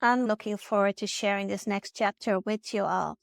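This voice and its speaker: A woman with a Dutch accent talks through a personal vlog in an earnest, steady way. Her voice is smooth and clear, sitting at a middle pitch. The sound is dry and close, with no room echo. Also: moderate pace. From Netherlands. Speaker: vlogger. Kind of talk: scripted personal vlog narration.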